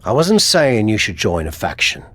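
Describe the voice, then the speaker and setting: A man's voice: on the low side, wildly swooping pitch, moderate pace, a bit bright, no room sound, heavily acted. A man playing a frank, supportive friend and adviser in a wrestling video game, speaking earnestly and conversationally. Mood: earnest, urging.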